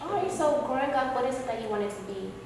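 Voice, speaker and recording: A woman's voice: fairly high pitch, quick pace, a little mellow, very echoey, in a large hall, far from the mic, a little hiss or hum. A woman co-hosting an interview show, asking playful, teasing questions. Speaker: co-host. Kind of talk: casual interview chat.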